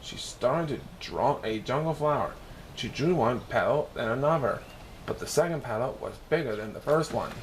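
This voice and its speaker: A man with a mid-pitched voice reads a children's story aloud. He narrates as a storyteller. The recording has clear noise behind him. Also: animated, normal speed, poor audio. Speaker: storyteller reading a picture book.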